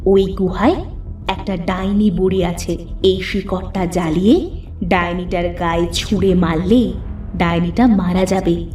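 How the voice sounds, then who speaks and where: A woman voicing a kindly, helpful talking magic lemon in a cartoon fairy tale, gentle and explanatory. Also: on the low side, steady tone, unhurried, reverberant, far from the mic, faint music behind, close to an everyday voice. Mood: instructive.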